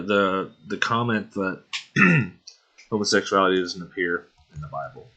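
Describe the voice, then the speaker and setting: A young man hosting a casual Bible study video, working through verses at an unhurried pace, often clearing his throat and saying um. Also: low-pitched, sharp tone. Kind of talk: casual screen-share Bible study walkthrough.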